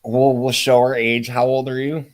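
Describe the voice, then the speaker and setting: A man's voice: mid-range voice, flat delivery, normal speed. A man in his forties co-hosting a casual true-crime chat, mostly chiming in briefly and steering the topic. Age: 40s. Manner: brief interjections, steering the conversation. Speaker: podcast co-host.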